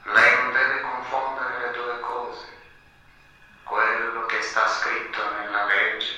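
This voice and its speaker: Grave man of the law, radio drama, deliberate, even low pitch, distant echoing sound. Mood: grave, instructive. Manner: deliberate, didactic. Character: grave, measured man explaining the law to an accused. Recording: very echoey, distant from mic.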